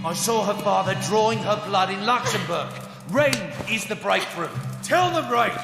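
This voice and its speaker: A man's voice: high-pitched, expressive, normal speed, vocal fry. A man playing a hot-headed, desperate soldier in a video game, agitated and insistent. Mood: desperate, angry. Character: hot-headed, desperate young male soldier shouting accusations. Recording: echoey hall, loud music behind.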